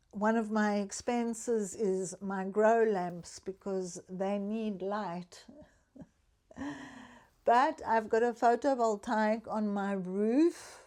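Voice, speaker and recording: A woman's voice: mid-range voice, a little mellow. An older woman from Africa, chatting candidly in a personal vlog about living on her pension. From South Africa. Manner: chatty and candid, talks frankly about money. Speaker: retiree vlogger.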